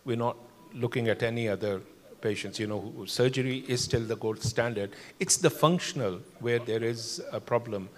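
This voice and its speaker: An older man, a cardiologist on a conference panel, explaining cases in a measured way with many fillers. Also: medium pitch. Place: large conference hall. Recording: long hall reverb.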